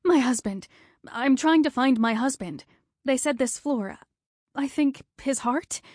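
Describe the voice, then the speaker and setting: A woman voicing a frantic middle-aged wife who talks in high, breathless, urgent pleas. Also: animated, slow pace, dry recording, clean studio sound.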